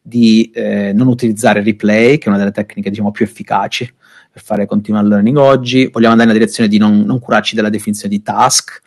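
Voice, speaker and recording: A man's voice: fairly low pitch, fairly even intonation, fast talker, darkish tone. A young man giving a technical talk over a video call from a room at home, explaining loosely with many fillers and restarts. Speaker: speaker. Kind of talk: online tech talk.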